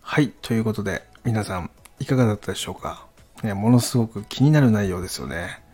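A man hosting an audio channel, making a casual promotional announcement politely, in short halting phrases. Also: on the low side, animated, darkish tone, breathy edge.

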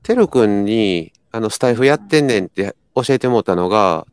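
A man with a Kansai accent chatting casually, thinking aloud in a halting way. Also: fairly low pitch, lively intonation, smooth, dry recording, studio quality. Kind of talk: casual chat.

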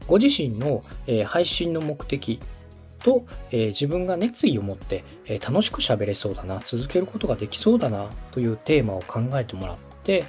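A man's voice: mid-range voice, sing-song, moderate pace, a little mellow, faint music behind. A man hosting and coaching, explaining things step by step in a polite, instructive way. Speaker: podcast host and coach, a radio director. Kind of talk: structured explanatory coaching on podcast production.

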